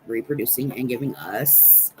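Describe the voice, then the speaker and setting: A woman's voice: a bass voice, animated, moderate pace, darkish tone, a little hiss or hum. An American woman telling rambling personal stories casually to livestream viewers, as the host of the stream. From Nebraska, United States. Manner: rambling, anecdotal, chatting with viewers. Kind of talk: casual storytelling chat on a livestream.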